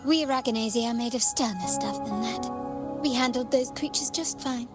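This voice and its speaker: A woman's voice: fairly high pitch, moderate pace, natural voice. A woman playing a proud, bold noblewoman fighter in a video game, speaking with assurance. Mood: proud, relieved. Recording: loud music behind the voice.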